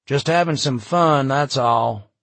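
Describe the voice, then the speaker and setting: A man's voice: mid-range voice, a little slow, husky, no room sound. Man voicing a sardonic, laid-back aging hippie. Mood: loud, proclaiming. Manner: drawling slang, smiling, sardonic.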